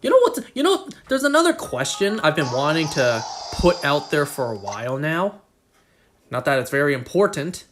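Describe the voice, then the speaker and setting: A man's voice: fairly high pitch, sing-song, moderate pace, fairly bright tone, slight background noise. A man chatting casually on a game live stream, rambling and joking at his own expense. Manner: rambling, self-deprecating, joking.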